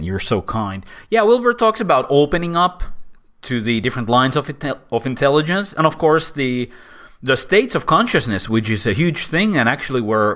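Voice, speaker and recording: A man with a Finnish accent, explaining a theory casually to camera in a playful, animated way. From Finland. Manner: animated, swooping pitch, playful asides. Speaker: host and philosopher.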